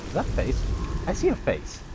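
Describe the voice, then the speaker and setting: A man's voice: a little high, wildly swooping pitch, brisk. A man giving casual let's play commentary, muttering short, musing remarks to himself as he plays. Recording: clear background noise, game ambience.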